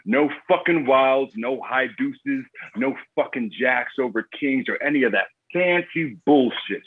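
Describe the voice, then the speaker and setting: Man playing violent card game boss, slow delivery, blunt, domineering, foul-mouthed, drama table read, thin phone-line sound. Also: medium pitch, breathy edge. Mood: commanding.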